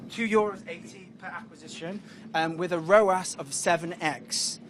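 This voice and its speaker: A man giving a loud, confident startup pitch on a moving tram, bantering with hecklers. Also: fairly even intonation, normal speed, a little hiss or hum.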